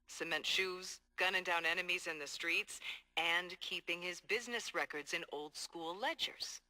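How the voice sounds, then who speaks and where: An actor playing a determined, hard-boiled police detective dictating earnest, streetwise case logs in a video game. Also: male voice, high voice, bright, forceful tone. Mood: resolute, confident. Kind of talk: video game audio log monologue.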